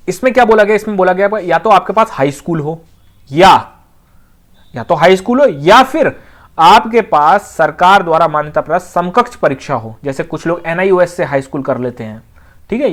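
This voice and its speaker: An exam coaching teacher, explaining things informally and conversationally, repeating points and often checking that students follow. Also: mid-range voice. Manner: conversational, with frequent check-in phrases and repetition.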